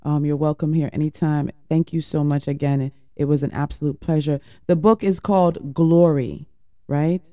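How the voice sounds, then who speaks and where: A man's voice: fairly high pitch, steady tone, moderate pace, dark tone, clean tone, no room sound, clean studio sound. A man hosting an interview, asking polite questions and giving short affirmations. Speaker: host.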